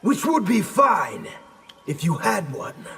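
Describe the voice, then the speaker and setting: An actor playing a bloodthirsty, loyal soldier in a fighting game, speaking with taunting menace. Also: male voice, high-pitched, big pitch swings, takes it slowly, gravelly, background noise, exaggerated voice. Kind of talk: video game fight dialogue.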